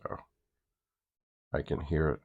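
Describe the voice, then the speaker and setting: A man hosting a hobby demonstration, thinking aloud in a casual, halting way and muttering asides to himself. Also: a little mellow, muffled recording. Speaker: vintage computer hobbyist host.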